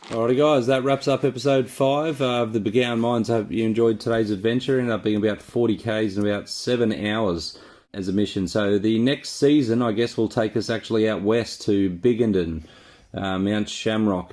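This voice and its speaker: A man's voice: fairly low pitch, steady tone, fairly quick, a little mellow, no room sound. A laid-back Australian man chatting casually and slangily to viewers as he vlogs about exploring outdoors. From New South Wales, Australia. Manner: laid-back, informal, slangy, self-deprecating. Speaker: vlogger and amateur explorer of abandoned mines. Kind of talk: casual vlog chat to viewers. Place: outdoors in the bush.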